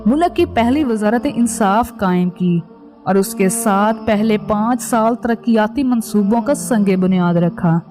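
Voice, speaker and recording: A woman narrates in a scripted documentary style. Her voice sits at an average pitch for a woman. Music plays clearly behind her narration. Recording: background music under voice.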